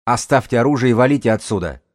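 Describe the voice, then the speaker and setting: A gruff teenage boy running a gang, voiced by a male narrator. He is stubborn and boastful, with a rough edge to his words, like a young tough in an audiobook thriller. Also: medium pitch, expressive, quick pace, voice pushed up, close to an everyday voice. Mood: gruff, shouting. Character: stocky stubborn sixteen-year-old boy gang boss.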